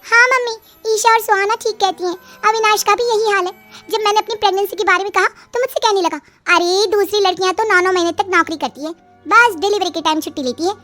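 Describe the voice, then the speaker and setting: Woman acting a very high, whiny young wife. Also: quick pace, sharp tone. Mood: complaining. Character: fed-up young married daughters complaining to their mother. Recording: music behind the voice.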